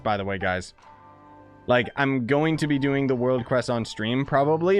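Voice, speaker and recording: A man giving casual, blunt and slangy live commentary as he streams a video game. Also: mid-range voice. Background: game music.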